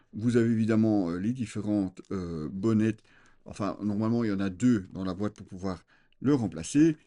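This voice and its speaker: A man giving an unscripted, conversational product review, explaining things to viewers with frequent hesitant pauses. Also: mid-range voice, mellow. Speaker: motorcycle gear reviewer.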